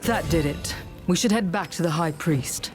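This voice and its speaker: A woman's voice: lowish voice, animated, moderate pace, music in the background, lightly acted. A woman playing a blunt, defiant warrior heroine in a video game, terse and confrontational. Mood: businesslike.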